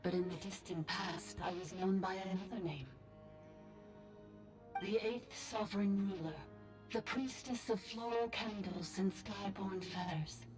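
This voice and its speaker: A woman's voice: mid-range voice, unhurried, music in the background, close to an everyday voice, rough recording. A woman playing an ancient sovereign priestess speaking through a robot in a video game, solemn, formal and cryptic.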